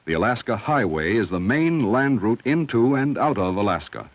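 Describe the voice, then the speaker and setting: A man reading scripted narration for a 1970 educational film in a measured, instructional, clearly enunciated way. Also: lowish voice, very animated, dry recording. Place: studio voice-over. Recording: dull, dry vintage film soundtrack.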